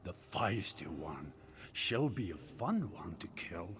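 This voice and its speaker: A man's voice: sits low, big pitch swings, fairly bright tone, slight background noise, heavily acted. An actor playing a smug, gloating usurper villain in a fantasy game, mocking and theatrical, drawing out his words. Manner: mocking, drawn-out, gloating delivery.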